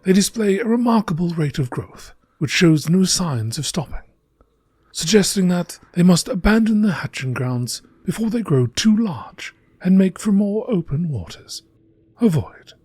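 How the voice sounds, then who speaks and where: A man reading scripted text aloud in a measured nature documentary style, as an amateur narrator. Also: high-pitched, animated, a little mellow, husky, dry recording.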